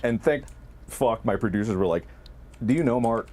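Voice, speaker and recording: A man co-hosting a casual talk, telling stories about his work in an animated, exaggerating way with some swearing. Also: mid-range voice, moderate pace. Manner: animated, profane, exaggerating for effect. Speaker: video creator and co-host, recounting past productions. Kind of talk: casual conversational storytelling.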